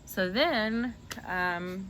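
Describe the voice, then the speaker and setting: A woman's voice: mid-range voice, very slow, a bit bright. A woman hosting a casual chat with her brother, telling stories in an informal, digressive and giggly way. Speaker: host interviewing her brother. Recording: clearly noisy background.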